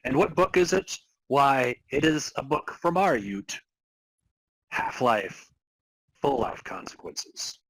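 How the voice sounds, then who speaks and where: A man's voice: medium pitch, very animated, takes it slowly, fairly bright tone, breathy edge, no echo, rough recording. A man co-hosting a podcast in casual comic banter, joking with a dry, mock-serious manner. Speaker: podcast co-host.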